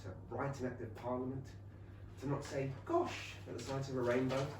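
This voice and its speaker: An actor playing a boyishly enthusiastic, rich young Regency gentleman with an English accent in a staged comedy reading. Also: mid-range voice, steady tone, normal speed, natural voice. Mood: gushing, excited. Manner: eager, gushing. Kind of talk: staged reading of a comic play. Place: small theatre stage. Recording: roomy theatre, distant mic, faint noise.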